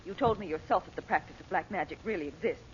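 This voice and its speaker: A woman's voice: fairly low pitch, quick pace, lightly acted. A woman playing a worried, protective mother in an old-time radio melodrama, pleading anxiously. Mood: alarmed, pleading. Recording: dull, noisy old radio recording.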